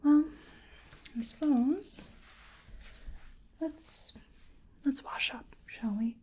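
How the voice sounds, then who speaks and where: A woman performs a soft-spoken ASMR exam roleplay in a mellow, breathy voice. She often drops into a whisper and takes her time, musing gently and hesitantly. Her pitch sits slightly high beneath all the softness. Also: very slow. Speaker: ASMR performer playing a researcher examining a creature.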